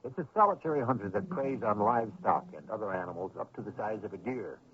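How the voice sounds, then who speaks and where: A man hosting and narrating a radio mystery in a theatrical, measured, solemn and ominous scripted style. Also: fairly low pitch, animated. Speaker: host and narrator. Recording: narrow old radio broadcast, faint noise.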